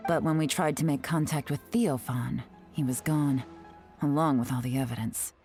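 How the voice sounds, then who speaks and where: A woman's voice: extremely low pitch, normal speed, darkish tone, faint music behind. A woman voicing a composed, calculating female spy in a video game, speaking in a wry, self-assured way. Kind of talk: game cutscene dialogue.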